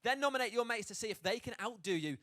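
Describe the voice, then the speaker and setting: A man's voice: very high-pitched, expressive, quick pace, bright, forceful tone. A man hosting a live launch event, upbeat and rallying a crowd of people to join in. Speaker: host. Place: indoor event space with live audience.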